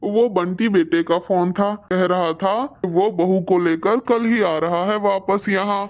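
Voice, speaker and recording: A man's voice: high-pitched, steady tone, measured pace. Cartoon pigeon father, voiced by a man: admonishing yet reassuring husband, muffled lo-fi recording. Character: patient male pigeon husband and father, head of household. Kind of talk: Hindi cartoon story dubbing.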